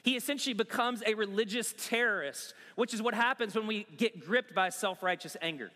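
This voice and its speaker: A pastor preaching a sermon in a conversational way, mixing scripture with pop-culture asides. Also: male voice, high-pitched, fairly quick, sharp tone, in a large hall.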